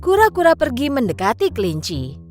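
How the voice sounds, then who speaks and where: A woman narrating a scripted children's fable in an expressive storytelling style. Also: sits high, a little fast, faint music behind.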